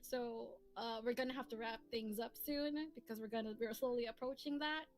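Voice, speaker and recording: A woman's voice: fairly high pitch, fairly quick. A woman hosting a casual livestream, chatting in a giggly, rambling way and repeating the starts of her phrases. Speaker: streamer and host, comic dub maker. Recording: soft music playing behind the voice. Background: soft lofi music.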